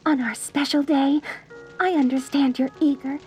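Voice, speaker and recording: A woman playing a sweetly deranged, lovestruck mortician bride in a dark comedy audio roleplay, cooing genteel endearments at the listener with theatrical menace. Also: sits high, steady tone, normal speed, soft background music, natural voice. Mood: cheerfully menacing.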